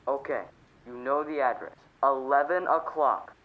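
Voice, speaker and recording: Through a muffled, lo-fi line comes a man's medium-pitched voice acted as a cold crime boss in a radio play. He is businesslike and methodical, giving terse orders. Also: a little slow, natural voice. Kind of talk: suspense radio play acting. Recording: dull muffled telephone-line sound.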